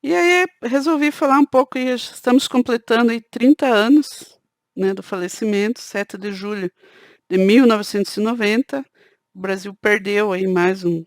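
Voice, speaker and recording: A woman with a southern Brazilian caipira lilt, speaking in a relaxed, chatty way straight to her viewers. Her voice sits at an ordinary middle pitch. The sound is close and dry, with no room echo. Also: moderate pace. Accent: Brazilian Portuguese, southern countryside (caipira). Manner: conversational, peppers sentences with "né". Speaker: channel host. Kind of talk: casual talk to camera.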